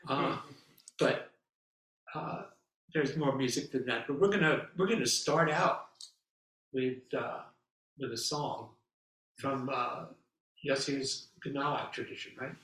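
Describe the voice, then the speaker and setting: A man speaking as an abbot, opening a class with relaxed, hesitant remarks full of pauses. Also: medium pitch. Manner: relaxed, with many fillers and pauses. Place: meditation hall. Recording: echoey hall, mic far off.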